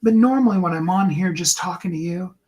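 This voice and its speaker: A man with a higher tenor voice giving an earnest, encouraging motivational talk to his viewers, often slowing down and dropping into a soft whisper. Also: dull audio. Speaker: host and coach.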